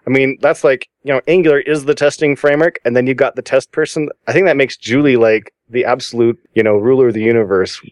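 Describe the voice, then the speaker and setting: A man's voice: medium pitch, steady tone, quick pace, few pauses, no echo. A man on a podcast panel, chatting with enthusiasm as he shares his picks. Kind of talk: casual podcast chat.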